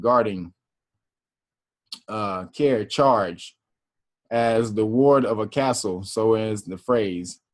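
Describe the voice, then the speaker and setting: A man hosting a casual class, reading definitions aloud and explaining them in loose, slangy talk with profanity and laughter. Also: mid-range voice. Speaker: class teacher and host.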